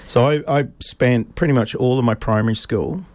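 A man's voice: medium pitch, normal speed, darkish tone, dry recording. A man in his fifties, raised in Australia, telling stories in a casual interview with many fillers and restarts. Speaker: podcast guest.

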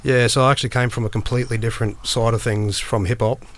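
A man, a guest rapper on a radio show, speaking in a measured, steady way. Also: medium pitch. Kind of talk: casual radio interview. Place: radio studio.

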